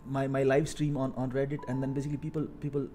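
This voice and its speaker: A man in his thirties from India with an Indian accent, talking casually on a coding live stream, halting with many fillers and repeated words. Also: mid-range voice, little pitch movement, warm tone, soft background music. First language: Hindi. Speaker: live-streaming software engineer.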